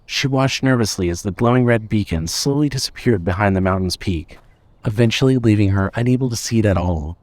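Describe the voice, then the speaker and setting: A man's voice: lowish voice, a little fast, darkish tone, no echo. A man reading scripted fiction as the narrator of an audio story.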